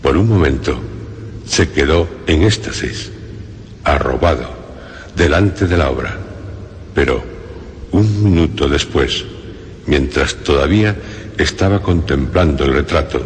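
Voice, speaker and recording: A man with an accent from Spain, narrating scripted horror radio as a host, dramatic and suspenseful, with deliberate long pauses. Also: a bass voice, expressive, slightly rough. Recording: music bed under the voice. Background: music.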